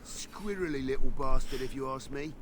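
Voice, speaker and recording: A man's voice: medium pitch, moderate pace, lightly acted. A man playing a cheated, foul-mouthed scavenger in a video game, rambling and griping bluntly. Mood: bitter, grumbling. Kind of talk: video game dialogue acting. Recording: clear background noise.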